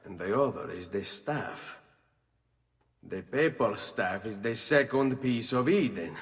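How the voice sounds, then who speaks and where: An actor playing a grave, determined Italian assassin in a video game, with an Italian accent and measured delivery. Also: male voice, lowish voice, expressive, gravelly, big-room sound, heavily acted. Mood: realizing, urgent. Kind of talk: dramatic video game cutscene acting.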